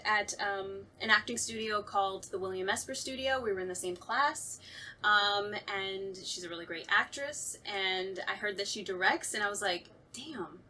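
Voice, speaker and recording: Give a woman with a bright, sharp-edged voice at a medium pitch. She talks casually and animatedly, like a writer and actor in a relaxed talkback, often voicing her own thoughts. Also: a little hiss or hum. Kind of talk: casual chat.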